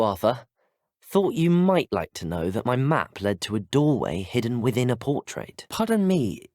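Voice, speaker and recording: A polite, eager student wizard from a video game, with an English accent and a medium-pitched voice. His delivery is earnest and well-spoken, with lots of melodic movement. The sound is dry and studio-clean. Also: darkish tone, no room sound. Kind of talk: scripted game dialogue lines.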